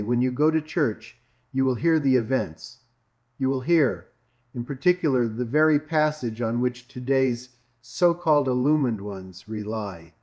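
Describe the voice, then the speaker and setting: A man's voice: medium pitch, a little mellow. Emphatic preacher, man from Greece, dull recording. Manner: emphatic, repetitive, with rhetorical questions. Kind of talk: sermon.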